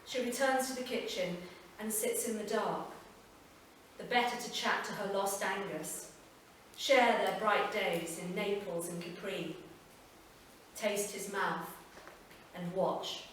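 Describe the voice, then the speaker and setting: A woman reading a literary story aloud at a live event, measured and expressive, recorded from far off. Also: mid-range voice, a little hiss or hum. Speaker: reader. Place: performance venue. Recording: echoey hall from far off, faint ambience.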